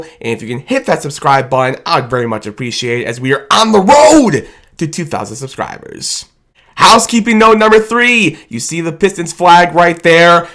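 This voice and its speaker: Hoarse, hyped sports fan man. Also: a little high. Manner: hyped, shouts and drawls his cheers. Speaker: sports fan video host. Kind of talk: excited fan reaction vlog.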